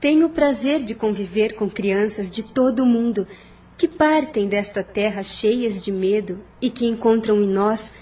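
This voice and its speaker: Actress as gentle, high-voiced spirit of a wife. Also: female voice, far from the mic. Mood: serene, tender. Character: gentle spirit of a dead wife and mother, caring. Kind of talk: audio novel dramatic acting.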